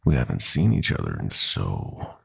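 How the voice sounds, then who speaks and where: A man playing a smug, sadistic demon prince of nightmares in an audio roleplay, with a husky, gravelly voice and a mock-polite, taunting drawl. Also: a bass voice, huge pitch swings, normal speed, heavily acted. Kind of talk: audio roleplay villain acting.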